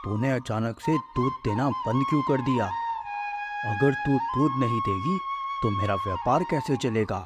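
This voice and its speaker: A man as a very animated, greedy village milkman. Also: medium pitch, normal speed. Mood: worried complaint. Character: scheming village milkman, anxious, greedy, envious. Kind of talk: Hindi cartoon moral story acting. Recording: loud background music with flute. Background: loud flute music.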